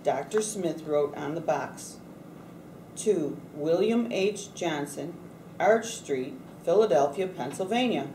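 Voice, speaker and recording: A woman reading a picture book aloud from a script in a measured way, bringing some expression to the quoted speech. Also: deep voice, expressive, far from the mic. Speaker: storybook reader at a read-aloud event. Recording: roomy echo, faint background noise.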